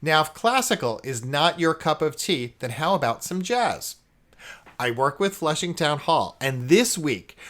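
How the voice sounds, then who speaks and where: A man hosting a podcast, reading scripted announcements in a clearly phrased, presenter-like way. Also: on the high side, a bit bright. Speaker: podcast host.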